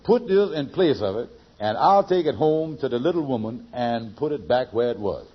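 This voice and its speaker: Husky, blustering radio con man. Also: mid-range voice, expressive, moderate pace. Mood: exasperated, scheming. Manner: stammering, repeating himself, blustery. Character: scheming, blustering middle-aged con man, big talker. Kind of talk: 1940s radio sitcom acting. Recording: muffled vintage radio recording.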